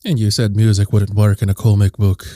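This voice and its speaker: Performer as a very low, mellow comic editor. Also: level tone, a little fast, dry recording, studio quality, close to an everyday voice. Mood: earnest, exasperated. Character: earnest, drama-loving, exasperated comic book editor. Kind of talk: audio drama banter.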